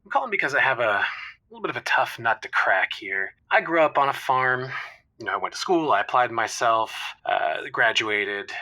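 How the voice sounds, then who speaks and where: Male caller an actor as earnest finance striver, medium pitch, very sharp tone, hesitant and sentimental, comedy radio. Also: poor audio. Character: earnest big-city finance man home in small town. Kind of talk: improvised comedy phone-in storytelling.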